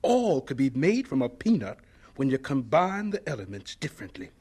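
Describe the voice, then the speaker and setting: Radio drama elder: gentle old American man of science, husky, lilting, modest and hesitant. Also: mid-range voice, big pitch swings. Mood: gentle, reminiscing. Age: older. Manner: mild, hesitant, unhurried.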